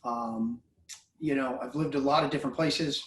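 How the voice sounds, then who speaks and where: A man in his forties from Southern California talks thoughtfully, with a slightly raised pitch. His voice sounds distant and echoey, as if picked up from across a room. He rambles a little as he gathers his thoughts. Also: lively intonation, normal speed, gravelly, fairly bright tone. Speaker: football coach. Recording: echoey room, far from mic.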